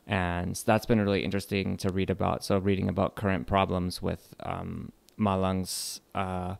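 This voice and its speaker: Man from California, lecturer, casual hesitant talk, low and gravelly. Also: normal speed, no room sound. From California, United States. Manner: relaxed, hesitant, many fillers and restarts. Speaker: English literature lecturer. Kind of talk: casual radio interview.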